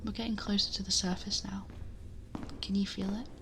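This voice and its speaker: A woman playing a possessive, protective dragon girl in an intimate ASMR roleplay, speaking softly and breathily, often whispering, close to the microphone in a measured murmur. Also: mid-range voice, flat delivery, creaky, noisy background, natural voice. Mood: tender, reassuring. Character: possessive female dragon girl, protective, commanding yet tender. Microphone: close binaural ASMR microphone.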